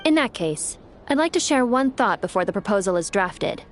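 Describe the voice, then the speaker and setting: A woman's voice: mid-range voice, very animated, moderate pace, slight background noise, natural voice. An actress playing a polite, tactful female official speaking formally in a video game. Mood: composed, tactful.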